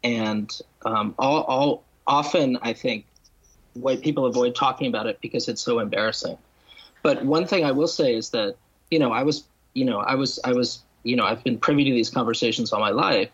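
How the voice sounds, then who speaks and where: Husky man speaking in halting, deliberate phrases. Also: mid-range voice, a bit bright, highs cut off. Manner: halting, deliberate, restates and lists points. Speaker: guest novelist and critic. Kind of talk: literary discussion on a podcast.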